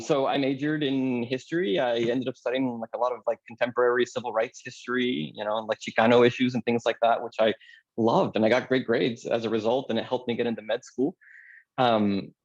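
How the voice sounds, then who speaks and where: A man in his thirties from California talks through his own story at an easy, chatty pace, dropping frequent ums and laughing often. His voice sits in a middle pitch. The recording sounds a little dull and lo-fi. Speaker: anesthesiologist speaking at a mentoring workshop. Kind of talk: casual personal story.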